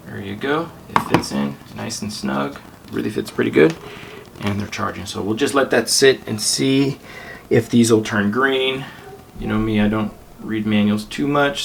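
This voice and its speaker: A man's voice: fairly low pitch, gravelly. A man hosting a gadget review, chatting casually and informally as he demonstrates things. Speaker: product review host. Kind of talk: casual hands-on demo chat. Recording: very noisy amateur camera audio.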